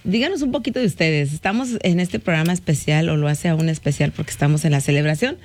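A woman's voice: low-pitched, fast, a little mellow. A woman from Mexico hosting a morning radio talk show, chatty with frequent fillers and backchannel interjections.

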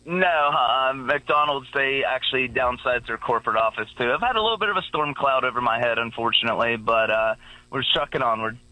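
A man's voice: medium pitch, fairly quick, pushed and bright, no room sound, rough recording. A man calling in to a radio show, easygoing and rambling, who laughs often. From Pittsburgh area. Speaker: caller. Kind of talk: phone-in conversation.

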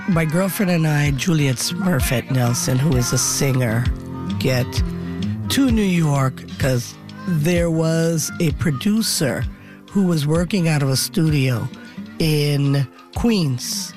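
Slow-spoken woman with a Jamaican lilt. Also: sits low. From Montreal, Canada. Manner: lively storyteller, acts out quotes, self-corrects names. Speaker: guest. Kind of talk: casual storytelling interview.

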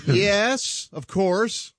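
A man's voice: high voice, expressive, drawn-out words, a bit bright, dry recording. A man cracking self-deprecating jokes in casual banter as a regular on a radio show, recorded in a studio.

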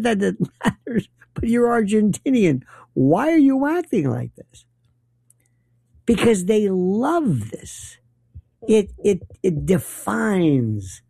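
Gravelly, rambling late-night radio host. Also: male voice, high-pitched, slow pace, dry recording. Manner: rambling, opinionated, animated asides. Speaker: radio talk show host. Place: radio studio.

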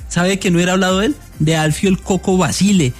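High-pitched man chatting casually. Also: steady tone, a little fast, faint music behind. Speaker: show panelist. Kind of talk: casual studio chat.

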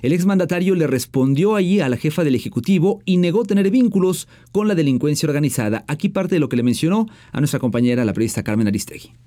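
A Mexican man hosts a radio news program, moving briskly through the stories. His voice sits a little high and has a relaxed, mellow tone, slipping between scripted copy and conversational asides.

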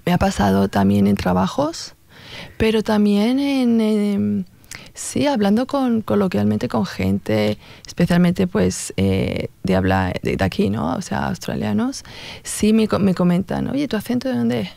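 Spanish-accent woman guesting on radio, laid-back, super fry-heavy voice, dry sound. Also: mid-range voice, a little slow, dry recording. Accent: Spanish from Spain. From Spain. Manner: relaxed, agreeable, short replies. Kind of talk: casual radio interview.

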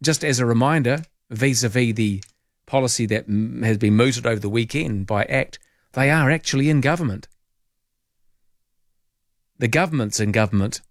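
A talkative New Zealand man hosts an overnight talkback show. His voice sits at an ordinary middle pitch and carries plenty of quick asides and jokes. The studio sound is close and dry, with no room in it. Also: no echo. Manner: chatty, jokey, thinks aloud with quick asides. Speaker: talkback radio host. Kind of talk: late-night talkback radio chat. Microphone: studio microphone.

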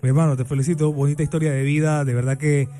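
A man's voice: on the high side, level tone, quick pace, mellow, soft background music. A man hosting a radio show, chatting and affirming his guest in a studio booth. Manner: chatty, affirming, friendly banter. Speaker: radio host. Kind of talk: casual radio interview.

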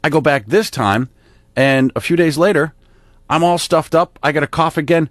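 A man's voice: on the high side, moderate pace, dry recording, studio quality. A man radio host chatting casually, wondering aloud in a conversational way. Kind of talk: casual talk segment.

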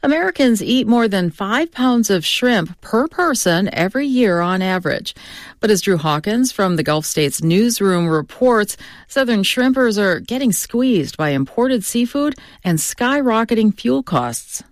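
A woman newscaster reading the hourly news in a crisp, even news read. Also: medium pitch, measured pace, dry recording, studio quality. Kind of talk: scripted newscast.

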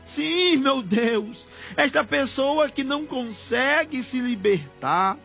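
A Brazilian man leads a fervent broadcast prayer in a very high-pitched voice. He pleads slowly and emotionally, often swelling toward a shout, with music playing underneath. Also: lively intonation, gravelly. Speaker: preacher leading prayer on a broadcast. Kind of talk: fervent extemporaneous Pentecostal prayer. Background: background music.

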